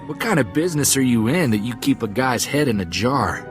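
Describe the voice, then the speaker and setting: A man's voice: mid-range voice, lively intonation, a little fast, music in the background, natural voice. A man playing an earnest, wary young gunslinger sidekick in a video game, with a wry manner.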